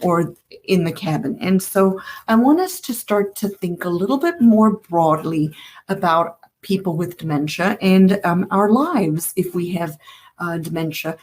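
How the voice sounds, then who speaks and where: A woman's voice: on the low side, measured pace, a little mellow, a little hiss or hum. A woman from Africa, hosting a solo educational podcast and explaining things earnestly, often repeating her key points. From South Africa. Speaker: podcast host.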